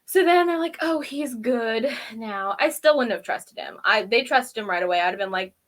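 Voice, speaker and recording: A woman's voice: a little high, lively intonation, normal speed. A woman co-hosting a review show in casual, informal chat, giggly and given to exasperated asides. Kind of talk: casual chat, recapping and critiquing a TV episode.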